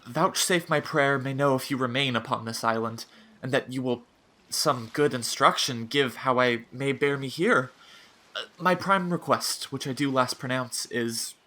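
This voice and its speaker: An actor playing a grieving, lovestruck young shipwrecked prince, speaking earnest verse in a Shakespearean audio drama. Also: male voice, mid-range voice, moderate pace, sharp tone, close to an everyday voice. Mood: awestruck, sorrowful. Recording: noisy remote online recording.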